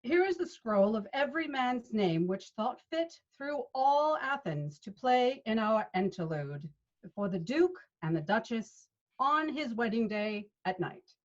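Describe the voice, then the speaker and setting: Woman as patient troupe leader in shakespeare comedy, highish animated voice, dull video call sound. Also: unhurried. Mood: businesslike. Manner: expressive, instructing. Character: Peter Quince, a patient, organizing male leader of amateur players. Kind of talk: Shakespeare table read over video call.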